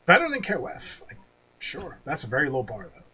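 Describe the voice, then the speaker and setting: A man's voice: fairly high pitch, huge pitch swings, fairly quick, sharp tone, husky, low-quality recording. A man co-hosting a podcast in casual chat, blunt and slangy, swearing freely. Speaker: podcast co-host. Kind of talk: casual chat about video game movies.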